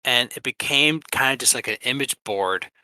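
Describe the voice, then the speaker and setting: A man co-hosting a podcast, chatting casually in a halting, easily amused way. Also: mid-range voice, bright, forceful tone, smooth, no room sound. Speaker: podcast co-host. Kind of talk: casual chat.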